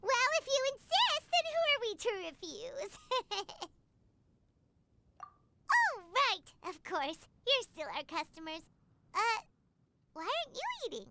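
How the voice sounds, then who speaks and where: A woman's voice: extremely high pitch, big pitch swings, takes it slowly, pauses often, noisy background, exaggerated voice. A woman voicing a tiny, cheerful, childlike video game companion with a bubbly, eager way of talking. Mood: eager, bashful. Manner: bubbly, refers to self in third person. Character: tiny cheerful childlike female companion who loves food.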